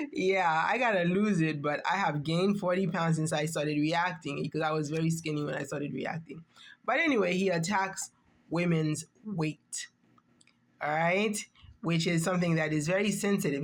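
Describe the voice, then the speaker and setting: A woman giving a reaction-channel commentary monologue, emphatic and rhetorical, often checking in with the listener. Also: deep voice.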